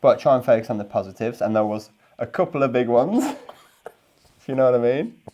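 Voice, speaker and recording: A man making a casual improvised prank call, rambling and halting as he talks. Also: medium pitch, animated, warm tone, muffled recording, slight background noise. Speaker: prank caller.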